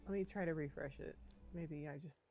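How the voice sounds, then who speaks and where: A woman's voice: sits low, brisk, darkish tone, soft background music. A woman chatting casually as a co-commentator on a game stream. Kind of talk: casual chat.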